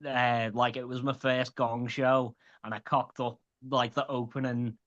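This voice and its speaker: Scouse-ish guy late 20s, bright edgy voice, chatty and sweary, kinda muffled recording. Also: mid-range voice, flat delivery, measured pace. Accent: light Scouse. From grew up in Liverpool. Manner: chatty, sweary, many restarts. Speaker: stand-up comedian guest. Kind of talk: casual interview chat.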